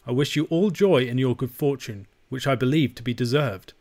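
A man's voice: mid-range voice, a little fast, a little mellow, lightly acted. A man voicing a courteous, drily amused lawyer who speaks in a formal, civil, wordy way in an audiobook. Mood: kindly, formal. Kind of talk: audiobook character voice.

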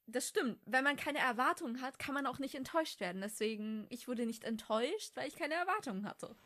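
Bright, high-voiced woman podcaster. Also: lively intonation, a little fast. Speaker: podcast co-host. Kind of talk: casual fan podcast chat.

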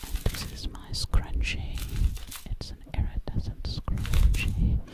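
A woman's voice: slow pace, natural voice. A woman playing a vain, sinister witch in an ASMR roleplay, whispering breathily close to the microphone and lingering on repeated words. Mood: playful, boastful.

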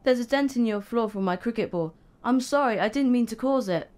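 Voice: medium pitch, a little fast, darkish tone, lightly acted. A performer playing a cheeky, defiant youngest brother, a small schoolboy, in an audio drama.

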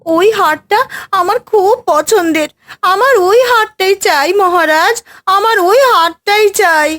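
A woman's voice: very high-pitched, measured pace. A woman voicing a scheming, melodramatic wicked queen in a fairy-tale cartoon, theatrical and exclamatory. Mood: insistent whining. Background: loud background music.

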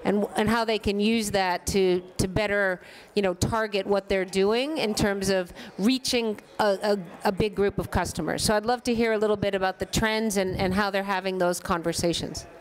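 A woman's voice: mid-range voice, fairly even intonation, normal speed. A woman, around her thirties, speaking conversationally as a panelist on a conference stage. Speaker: panelist from a crowdfunding site. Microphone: gooseneck microphone at seat.

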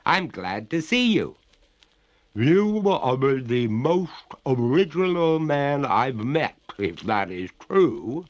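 A man playing a young gentleman, master of a manor, speaking formally with courteous, cool firmness. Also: mid-range voice, very animated, slow, dull audio, voice pushed up. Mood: courteous, genial.

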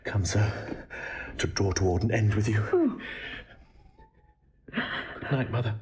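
An actor playing a bitterly witty young prince in a classical audio drama, sardonic and theatrical. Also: male voice, fairly low pitch, huge pitch swings, slow, a little mellow, a little hiss or hum, full character voice. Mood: grimly wry. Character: mocking, riddling young prince, a man of bitter wit. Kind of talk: classical Shakespearean radio acting.

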